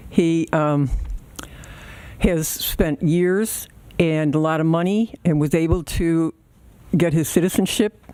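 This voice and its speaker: An older woman, in her sixties or older, with a soft voice, speaking haltingly and informally at a public meeting. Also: lowish voice, dry recording. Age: 60s or older. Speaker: resident giving public comment.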